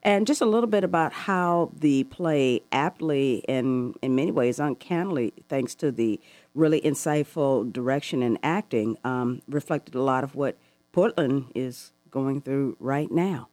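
A woman hosting a call-in radio talk show, asking long, thoughtful questions with soft laughs. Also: a bass voice, a little slow, no echo. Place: radio studio.

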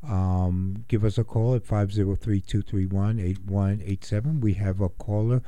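Male radio host, low mellow voice, dry studio sound, halting. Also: steady tone, no echo. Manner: measured, hesitant with many ums. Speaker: radio talk show host. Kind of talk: community radio talk show.

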